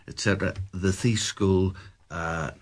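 A man's voice: deep voice, fairly even intonation, a little slow. A man with a Donegal Irish accent, a candidate on a radio panel, answering hesitantly with many fillers. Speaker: local election candidate. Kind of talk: panel discussion.

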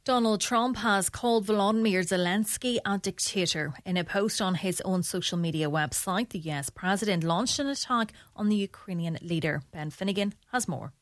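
A woman's voice: medium pitch, moderate pace, few pauses, no echo, clean studio sound. A woman with an Irish accent reading scripted radio news as a presenter. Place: dry studio.

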